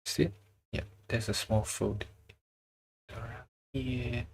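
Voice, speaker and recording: Slow, halting man with a Chinese accent. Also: mid-range voice, level tone, a little hiss or hum. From China. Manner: halting, searches for words, chuckles often. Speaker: drawing livestreamer. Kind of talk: casual livestream chat while drawing.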